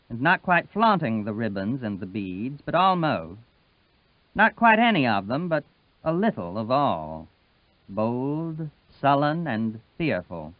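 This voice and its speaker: A man narrating a literary audiobook in a measured, expressive storytelling manner. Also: mid-range voice, dry recording, phone-quality sound.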